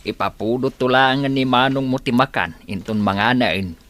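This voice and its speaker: A man's voice: mid-range voice, moderate pace, a bit bright, lightly acted. A man with an Ilocano accent playing a considerate father of grown children in an audio drama. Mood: caring.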